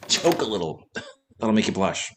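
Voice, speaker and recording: An actor as a witty husband-detective in a radio comedy mystery. His male voice is fairly low but moves around a lot in pitch. He teases drily and playfully. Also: big pitch swings, slow pace, a bit bright, a little hiss or hum, full character voice. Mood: awkward, teasing. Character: wry, teasing husband, a witty amateur sleuth. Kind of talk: old-time radio comedy-mystery acting.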